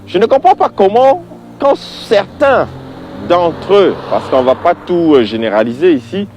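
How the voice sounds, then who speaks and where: A man's voice: sits high, very animated, measured pace, darkish tone, slight background noise. A man giving an informal religious opinion monologue, exasperated and emphatic, repeating points and addressing the listener directly.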